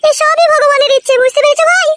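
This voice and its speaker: A man's voice: extremely high pitch, fairly even intonation, very fast, bright, forceful tone. A man voicing a hospitable, proud village housewife in a comic cartoon, chatty and inquisitive. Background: music.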